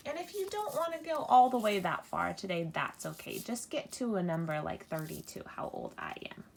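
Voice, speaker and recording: A woman in her thirties teaching a children's math lesson, counting aloud slowly and breaking into mock-alarmed squeals. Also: on the low side, big pitch swings, gravelly, slight background noise. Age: 30s. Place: indoors at a table, hands-only video.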